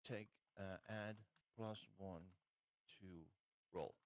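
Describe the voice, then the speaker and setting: A man's voice: fairly low pitch, fairly even intonation, slow, dry recording. A man chatting casually and a little hesitantly as a player in a tabletop game.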